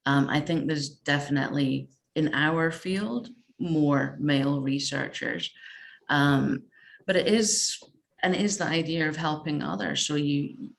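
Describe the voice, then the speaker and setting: A woman researcher answering informally in a panel discussion, speaking in a measured way and pausing mid-sentence. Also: sits low, gravelly. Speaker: games researcher, roundtable panellist.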